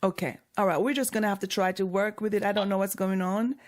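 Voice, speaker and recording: A woman's voice: mid-range voice, steady tone, fast. A woman from Jamaica, hosting a call-in radio interview and talking hesitantly, with many fillers and restarts. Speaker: radio show host.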